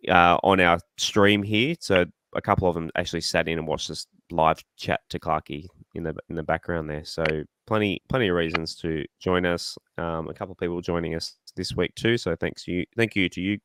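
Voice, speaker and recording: Low, smooth Australian man, slightly croaky. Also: no echo. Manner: rambling, excitable, self-deprecating. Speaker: podcast host. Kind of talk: casual fan podcast chat.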